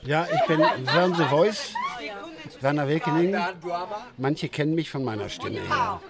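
Male, raspy, lively swooping intonation, casual interview delivery, very noisy crowd behind. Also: a little high, a little slow. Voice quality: rough, raspy. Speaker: interviewed voice actor. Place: busy audio drama convention. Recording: very loud convention crowd behind voice.

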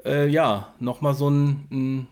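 A man's voice: medium pitch, little pitch movement, slow pace, darkish tone, clean tone. A man, chatting casually as a podcast co-host and interviewer. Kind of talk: casual chat.

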